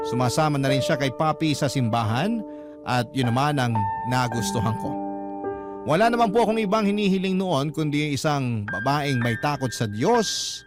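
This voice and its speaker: A man narrates a scripted personal letter in the manner of a radio storyteller. His voice is a little high and his delivery is earnest and expressive. Music plays loudly behind him throughout. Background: loud music.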